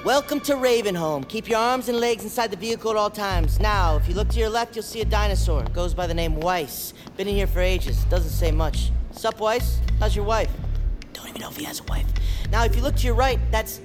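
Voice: medium pitch, brisk, music in the background, close to an everyday voice. An actor playing a manic, paranoid, chatty psychiatric patient in an audio drama, rambling theatrically and slipping into whispers like a playful tour guide. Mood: playful, conspiratorial.

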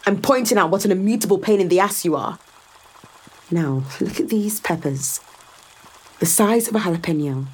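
A woman's voice: mid-range voice, normal speed, pauses often, breathy edge, natural voice. An actress playing a confident, sardonic woman in a science fiction audio drama, explaining things to a skeptical visitor with assured, dryly teasing delivery.

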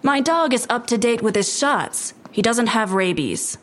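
An actress playing a defiant neighbor who is sarcastic and defensive, in an audio drama. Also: female voice, on the high side, animated, unhurried, a bit bright. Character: defiant, sharp-tongued female neighbor and dog owner.

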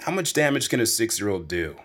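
A man's voice: fairly low pitch, sing-song, brisk, a bit bright. A man playing an easygoing, dismissive suburban husband in a naturalistic audio drama, laid-back and reassuring.

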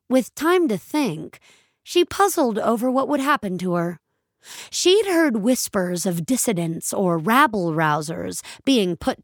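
Woman, fiction narrator, measured storytelling, unhurried pace, animated intonation, dry sound. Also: medium pitch, no room sound. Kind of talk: scripted dramatic audio fiction narration.

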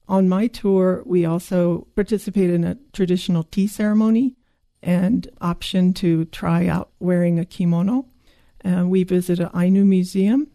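A woman from Japan, a guidebook author and tour guide, calmly explaining things in a radio interview. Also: lowish voice, gravelly, no room sound. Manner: calm, informative explaining.

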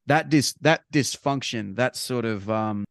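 A man's voice: mid-range voice, a little slow, gravelly, dry recording, studio quality. A man with an Australian accent chatting casually as a podcast co-host, rambling and restarting his phrases, with bursts of emphasis. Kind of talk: casual sports chat.

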